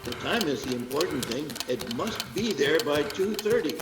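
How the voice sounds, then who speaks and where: A man's voice: on the high side, very animated, moderate pace, music in the background. A man playing a stern voice of urgent warning in a theatrical radio play. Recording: distant, echoey, noisy radio play voice.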